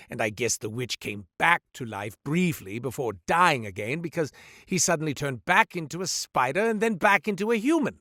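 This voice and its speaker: Scheming game merchant: rambling, theatrical, lively, dry studio sound. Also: male voice, a little high, no room sound, exaggerated voice. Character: sly chatty male merchant, shady storyteller. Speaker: merchant character. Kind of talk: game character voice lines.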